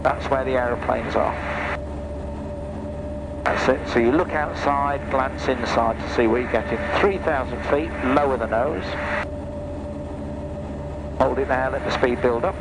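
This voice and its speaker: English male flight instructor coaching calmly in the cockpit, headset audio, heavy engine drone. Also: medium pitch, unhurried, long pauses. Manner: calm step-by-step coaching. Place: small aircraft cockpit in flight. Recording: loud engine noise behind.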